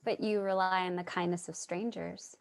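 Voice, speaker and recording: A woman's voice: on the low side, steady tone, normal speed, vocal fry. A woman playing a sympathetic, curious narrator in a play reading, speaking in an earnest, reflective way. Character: Charlie, sympathetic, curious woman narrating her street encounters. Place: remote video call reading.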